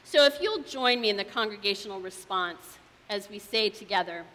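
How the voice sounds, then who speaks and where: A woman's voice: medium pitch, expressive, moderate pace, pushed and bright. A woman who leads a church's faith formation program, addressing the congregation through a microphone with enthusiastic, thankful, rhythmic lists. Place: church sanctuary. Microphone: handheld or stand microphone. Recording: reverberant church hall, faint hiss.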